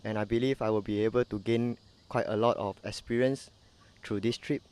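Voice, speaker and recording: A man's voice: fairly low pitch, steady tone, brisk, a little mellow. A man of thirty from Singapore, a jockey, introducing himself in a polite, formal way. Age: 30s. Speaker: apprentice jockey.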